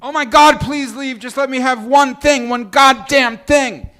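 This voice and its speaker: A man acting an exasperated, bossy, thin-skinned woman who leads a bowling team, indignant and forceful, in a comedy table read. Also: very high voice, fairly even intonation, a little slow, exaggerated voice.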